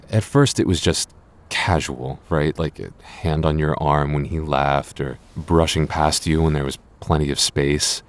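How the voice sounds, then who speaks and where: Male actor as a jealous boyfriend, low-pitched, earnest, possessive yet tender, hesitant stammering, intimate audio roleplay. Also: a little mellow. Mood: confessional, tender.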